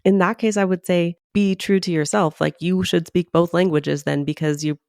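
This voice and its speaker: A woman's voice: lowish voice, fairly even intonation, normal speed, vocal fry, darkish tone, no echo, clean studio sound. A woman co-hosting a podcast, giving advice in a conversational, explanatory way that leans on "like" and "right?". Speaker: podcast co-host.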